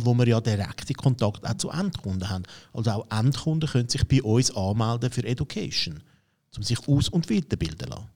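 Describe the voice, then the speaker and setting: A man's voice: medium pitch, a little mellow. A man with a Swiss German accent hosting a business conversation, asking questions with frequent filler sounds. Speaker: host.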